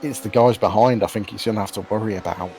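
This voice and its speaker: A man giving casual, analytical live commentary on a sim race, explaining things in a measured way. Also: on the low side, darkish tone, faint music behind. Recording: faint noise behind voice.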